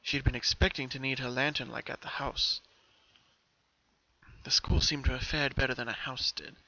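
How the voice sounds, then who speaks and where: A man gives a scripted dramatic reading as a story narrator. His voice sits at a middle pitch with a bright, forward tone. The sound is a little dull, like a home recording. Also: steady tone, moderate pace.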